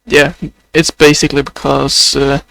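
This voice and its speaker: A man's voice: fairly high pitch, monotone, vocal fry, fairly bright tone. A young man with a Swedish accent talking unscripted in a video diary, hesitant and full of fillers, a voice people say sounds close to crying. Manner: hesitant, many fillers, slow and halting. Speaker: video journal creator.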